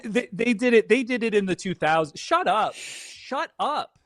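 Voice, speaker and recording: A man's voice: sits high, lively intonation, normal speed, fairly bright tone, dry recording, studio quality. A man chatting casually as a guest, with a tongue-in-cheek, mock-defensive comic manner. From Canada. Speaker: guest on a live stream.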